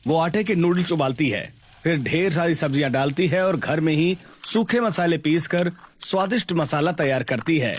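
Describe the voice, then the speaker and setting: A man's voice: on the high side, lively intonation, moderate pace. A man narrating a scripted Hindi cartoon story.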